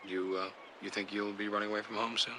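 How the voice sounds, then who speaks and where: An American man playing a patient, gently amused father of a young boy in a naturalistic TV sitcom, answering indulgently with hesitant pauses. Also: lowish voice, flat delivery, close to an everyday voice. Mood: thoughtful, patient. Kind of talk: naturalistic television sitcom acting. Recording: older TV soundtrack, faint background noise.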